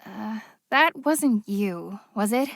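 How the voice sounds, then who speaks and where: A woman's voice: mid-range voice, expressive, slow pace, creaky, a bit bright, dry recording, studio quality, exaggerated voice. A young woman voicing a hot-tempered teenage girl in a comic dub. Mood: hesitant.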